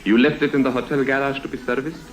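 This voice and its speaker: An actor playing a formal, scheming foreign baron who speaks precisely and insistently in a 1950s radio drama. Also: male voice, medium pitch, flat delivery, fast talker, natural voice. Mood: formal, urgent. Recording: noisy old 1950s radio recording.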